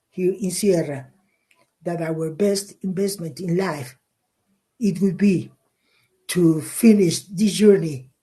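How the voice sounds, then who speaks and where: A middle-aged woman from Mexico, a conservation leader speaking English, passionate and halting with fillers and repeats. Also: on the low side, dark tone, husky. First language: Spanish. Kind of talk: interview answers.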